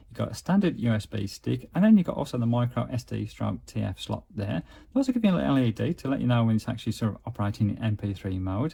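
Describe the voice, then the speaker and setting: A man's voice: medium pitch, gravelly, mellow, slightly rough. A man giving a casual, methodical product walkthrough as a gadget reviewer. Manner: methodical, explanatory.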